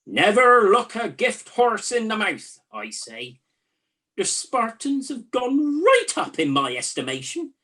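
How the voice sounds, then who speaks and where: Comedy radio play: woman as gullible, chatty ancient soldier, very animated swooping pitch, unhurried pace, slightly husky. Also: full character voice. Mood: earnestly impressed. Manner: wry, conversational comic musing. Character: bored, gullible, chatty Trojan night-watch soldier in a spoof. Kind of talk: comic radio play acting.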